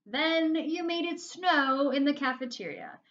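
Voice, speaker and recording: A woman voicing a gloating school principal from a storybook, smug and mocking. The voice sits raised and pushes louder than a plain reading. The recording is dull and lo-fi. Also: high-pitched, lively intonation, voice pushed up, heavily acted. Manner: mocking, gloating, drawn-out delivery.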